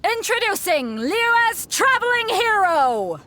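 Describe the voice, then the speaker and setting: A woman's voice: very high voice, very animated, slow, noisy background, exaggerated voice. A woman playing a bold, commanding female sea captain in a video game, with a theatrical delivery. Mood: rousing, announcing. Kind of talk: scripted video game dialogue. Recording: music under the voice. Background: game music.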